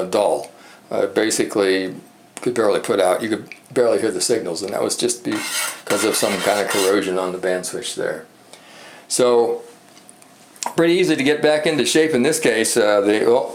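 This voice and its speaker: A man giving a casual, unscripted hobbyist show-and-tell, halting and rambling as he thinks aloud. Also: lowish voice. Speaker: hobbyist presenter demonstrating a vintage radio repair. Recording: echoey room with background noise.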